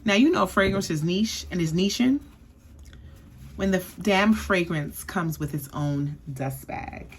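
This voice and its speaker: A woman's voice: lowish voice, expressive, unhurried, a little hiss or hum. A young woman from the East Coast giving a chatty, sassy perfume review, often checking in with "okay?". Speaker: beauty vlogger.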